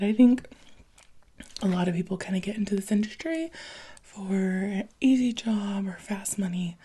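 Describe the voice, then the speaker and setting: Breathy, whispering woman in soft ASMR roleplay. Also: medium pitch. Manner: gentle, encouraging, conversational. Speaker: ASMR roleplayer playing a restaurant job interviewer.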